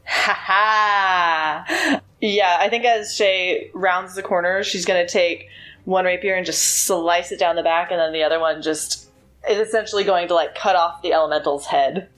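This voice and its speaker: A woman's voice: fairly low pitch, steady tone, normal speed, fairly bright tone. A woman playing a tabletop game, upbeat and matter-of-fact. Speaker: player. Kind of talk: casual tabletop play. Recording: echoey room, faint music.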